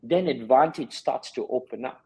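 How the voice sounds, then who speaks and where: A man's voice: medium pitch, normal speed, vocal fry, warm tone, slightly rough, low-quality recording. A man who works as a sports scientist and performance coach, answering interview questions in a reflective way and explaining with examples.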